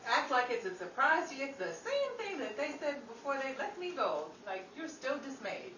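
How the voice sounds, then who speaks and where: A woman with a fairly high and very lively voice, casually prompting at a rehearsal. She sounds distant in a roomy space with faint noise. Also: normal speed, dull audio. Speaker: cast member in rehearsal.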